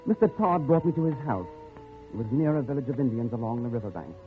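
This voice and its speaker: An actor playing an educated, polite and weary traveller stranded in the jungle, in a radio drama. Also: male voice, mid-range voice, expressive, fast, faint music behind, lightly acted. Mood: reflective narrating. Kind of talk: 1950s radio drama acting and first-person narration. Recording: thin, lo-fi old radio recording.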